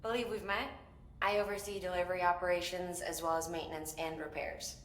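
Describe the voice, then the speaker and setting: A woman's voice: on the low side, a little fast, roomy sound, a little hiss or hum, natural voice. A woman acting an easygoing, frank maintenance engineer in a video game, speaking casually. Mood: friendly, casual. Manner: casual, relaxed phrasing.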